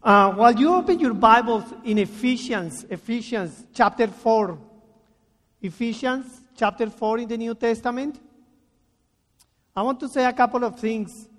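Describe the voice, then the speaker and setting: Slow-paced preacher in a church. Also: male voice, very high-pitched. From Colombia. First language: Spanish. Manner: earnest, deliberate, reads scripture slowly with emphasis. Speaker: guest preacher. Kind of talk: sermon. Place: church sanctuary. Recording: reverberant church sanctuary.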